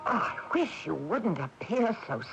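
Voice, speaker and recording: A woman's voice: mid-range voice, measured pace, vocal fry. A woman acting an earnest, exasperated householder in a radio mystery drama. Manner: earnest, expressive, scolding. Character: exasperated, plucky woman householder, the ghost's descendant. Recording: dull, hissy 1980s radio tape.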